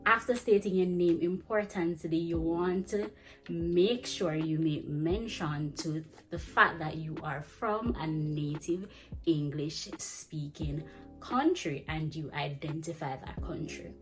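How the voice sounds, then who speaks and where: A young woman giving chatty, fast-paced teaching advice to camera in a small indoor room. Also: deep voice, soft background music, rough recording. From Jamaica. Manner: chatty, fast-talking, animated with hand gestures. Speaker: vlogger and ESL teacher. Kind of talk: casual advice vlog. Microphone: camera framing head to chest.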